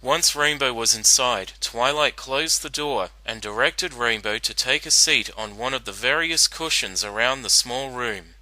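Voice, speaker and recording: A man narrating a dramatic reading of fan fiction in an earnest, deliberate storytelling manner. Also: mid-range voice, bright, forceful tone, no room sound, low-quality recording.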